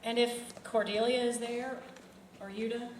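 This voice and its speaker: A woman's voice: on the high side, steady tone, measured pace, close to an everyday voice. A woman reading the part of a practical, wary colleague at a script table read, blunt and direct. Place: indoor festival venue. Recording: distant echoey room with background noise.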